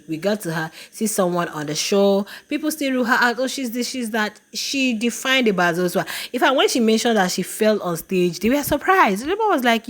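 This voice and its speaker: A woman vlogger casually reacting to a reality show, talking to her viewers and acting out what others said in a raised voice. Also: mid-range voice. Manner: animated, quotes others in a raised voice.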